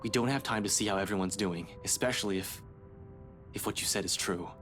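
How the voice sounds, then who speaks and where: A man's voice: fairly low pitch, a little fast, music in the background, close to an everyday voice. A man acting a grim, blunt, businesslike male police detective in a video game. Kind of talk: scripted visual novel game voice acting.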